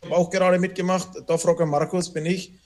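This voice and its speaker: A man's voice: high voice, flat delivery, fast talker. A man from a band answering interview questions casually, rambling with many fillers. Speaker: band member.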